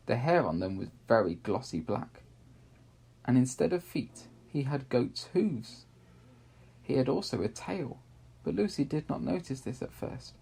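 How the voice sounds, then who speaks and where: A man narrating a children's story aloud in a measured, expressive way with deliberate pauses. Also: mid-range voice, creaky, husky.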